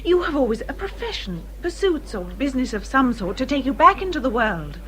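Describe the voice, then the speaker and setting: An actress playing a gentle, earnest young English gentlewoman in a period radio drama, polite and thoughtful. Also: high voice, sing-song, fairly quick. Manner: polite, soft-spoken, thoughtful.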